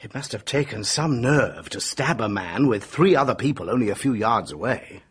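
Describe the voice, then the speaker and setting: An actor playing a brisk, affable doctor in a radio drama, with a professional bedside manner. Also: male voice, mid-range voice, expressive, slightly rough. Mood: wry, subdued. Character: brisk, affable middle-aged male British doctor.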